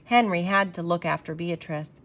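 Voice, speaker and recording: A woman reading a children's storybook aloud as an expressive storyteller, with emphatic phrasing. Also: on the low side, normal speed, slight background noise.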